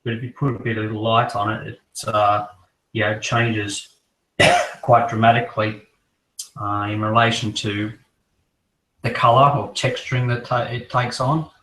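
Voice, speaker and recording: A croaky-voiced man fighting off a cold talks casually and at length, his tone staying flat and level. He sits a little back from the microphone in an echoey room. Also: lowish voice, unhurried, far from the mic. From Australia. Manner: relaxed, rambling collector talk. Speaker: co-host. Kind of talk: casual chat.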